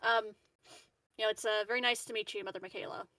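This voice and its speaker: A woman's voice: on the high side, steady tone, bright, forceful tone, no room sound, far from the mic. Polite, guarded woman, as a game player plays her. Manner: courteous, hesitant, halting. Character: polite, guarded woman making small talk with a stranger.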